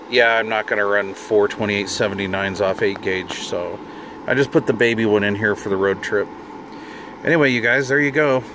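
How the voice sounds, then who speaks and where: A middle-aged man giving a casual hands-on demonstration as a hobbyist video host, informal and half talking to himself. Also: medium pitch. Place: inside a parked vehicle. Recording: noticeable car and fan noise.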